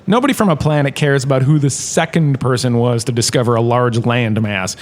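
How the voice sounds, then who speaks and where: A man playing a jaunty, opinionated history professor in a comedic audio drama lecture, chatty and theatrical, with emphatic pauses. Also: mid-range voice, natural voice. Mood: opinionated, playful. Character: washed-up actor turned jaunty, opinionated male history professor.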